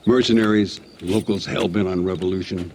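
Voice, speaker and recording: An old gunfighter from a western game, American. His voice is deep and creaky, and he talks with dry, weary understatement. Faint outdoor noise sits behind him. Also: steady tone, a little mellow. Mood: disdainful. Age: older. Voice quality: gravelly. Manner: dry, slow, world-weary. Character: weary, laconic old gunslinger, once famous. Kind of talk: video game cutscene acting.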